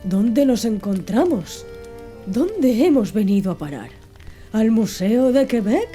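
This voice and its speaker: A woman's voice: on the high side, big pitch swings, takes it slowly, warm tone, soft background music. A woman reading as a blunt male harpooner. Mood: astonished. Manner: blunt, questioning. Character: brash, curious male Canadian harpooner.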